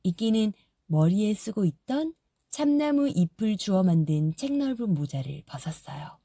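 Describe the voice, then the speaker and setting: A woman narrating a fairy tale audiobook in a soft, gentle storytelling manner. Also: medium pitch, big pitch swings, normal speed, no echo, studio quality.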